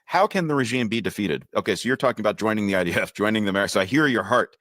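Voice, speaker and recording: A man's voice: fairly low pitch, lively intonation, rapid-fire, a bit bright, dry recording, clean studio sound. A man conducting an interview, probing and rephrasing the guest's answers, often stumbling over his words. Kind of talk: interview questions.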